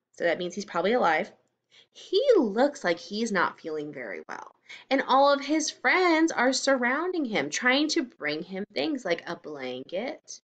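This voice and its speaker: Slow, lively woman teaching young children. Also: on the high side. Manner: simple, instructive, expressive phrasing for young children. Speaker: teacher reading aloud to children. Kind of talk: children's read-aloud lesson with explanations and questions.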